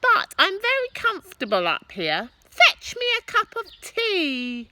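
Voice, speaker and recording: A woman voicing a bossy, boastful old grandmother in a storybook, yelling scornful, drawn-out words from high up. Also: huge pitch swings, heavy background noise, full character voice. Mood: suspicious, demanding.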